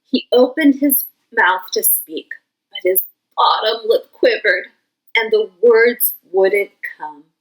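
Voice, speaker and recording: A woman teacher reading a children's picture book aloud in an expressive way. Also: fairly high pitch, rough recording. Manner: slow, expressive. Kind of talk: children's storybook read-aloud.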